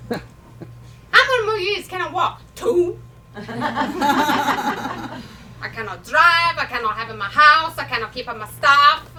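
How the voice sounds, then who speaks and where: A woman playing a feisty, stubborn elderly Italian immigrant grandmother in a comic stage monologue, speaking blunt, halting broken English with an Italian accent. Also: high voice, animated, crowd noise. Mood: exasperated. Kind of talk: live comedic stage monologue. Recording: distant mic, noisy live room.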